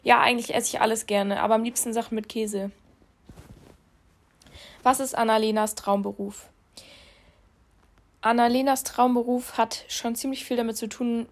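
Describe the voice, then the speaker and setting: Young woman with a cold, chatty podcaster. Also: a little high. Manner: chatty, laughs at herself. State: has a cold. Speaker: podcast co-host. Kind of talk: casual chat. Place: alone in her room. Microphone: phone.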